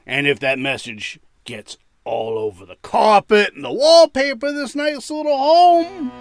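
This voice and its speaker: A man playing a menacing gangster boss in an audio drama, sarcastic and bullying, with gravelly, taunting wise-guy talk. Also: extremely high pitch, animated, measured pace, dry recording, full character voice.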